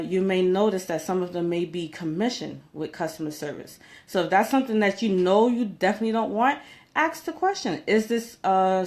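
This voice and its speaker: A woman's voice: mid-range voice, a little mellow. A woman speaking as a career coach in an informal advice vlog, conversational and emphatic, often checking in with her listeners. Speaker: career coach giving job interview tips.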